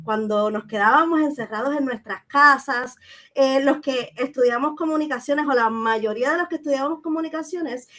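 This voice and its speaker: A woman's voice: high voice, moderate pace, fairly bright tone. A woman hosting a conversational interview in a welcoming manner. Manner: welcoming, complimentary to guest. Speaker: interview show host.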